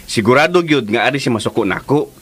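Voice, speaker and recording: A man acting in a radio drama as a chatty, conspiratorial gossip with an animated manner. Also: mid-range voice, quick pace, slightly rough.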